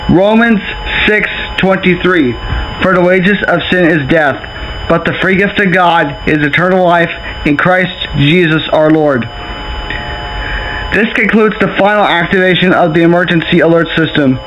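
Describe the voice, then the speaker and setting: Man as solemn male emergency announcer, high voice, official doomsday broadcast, muffled lo-fi audio. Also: fairly bright tone. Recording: muffled lo-fi audio with faint noise.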